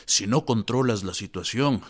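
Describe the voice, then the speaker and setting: A man's voice: on the low side, moderate pace, a little hiss or hum, lightly acted. Man as frank, firm police chief. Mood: urgent, warning. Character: frank police chief advising a troubled president. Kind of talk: historical radio drama acting.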